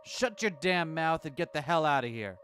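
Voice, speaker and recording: Male narrator doing a hot-headed gang leader, slow, seething, loud threats. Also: medium pitch, lively intonation, soft background music, natural voice. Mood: furious. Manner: snarling, slow menacing threats.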